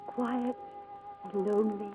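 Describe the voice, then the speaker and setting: A woman's voice: mid-range voice, fairly even intonation, slow pace, narrow, tinny audio, natural voice. An actress playing a devoted, spirited young woman in a 1940s radio drama, speaking in an earnest, pleading and effusive way. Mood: wistful narration. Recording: old radio broadcast with music behind.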